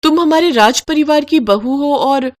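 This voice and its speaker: A woman's voice: high-pitched, measured pace, voice pushed up. A woman voicing a haughty royal sister-in-law in a devotional story, speaking formally and emphatically. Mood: reproachful.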